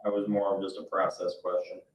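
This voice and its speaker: A board member with a low male voice talks through questions informally. He sits away from the microphone, and the room echoes around him. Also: completely flat delivery, quick pace, recorded from a distance. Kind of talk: informal questioning in a public meeting. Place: indoor town board meeting room.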